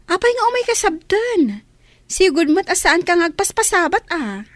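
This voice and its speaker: A woman's voice: very high voice, very animated, moderate pace. A woman with an Ilocano accent playing a feisty, loyal young friend in a radio comedy drama. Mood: teasing, questioning.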